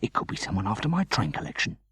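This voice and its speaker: A man voicing a protective, sleepy father in an audiobook, in a low-key murmur. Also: very animated, quick pace, fairly bright tone, breathy edge, no echo, full character voice. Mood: alert, wary.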